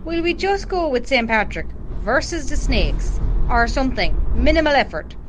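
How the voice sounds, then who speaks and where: Actress as practical chairwoman, brisk, higher voice, animated intonation, radio drama, slight background noise. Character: brisk, no-nonsense woman running a parish group meeting. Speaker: meeting chairperson.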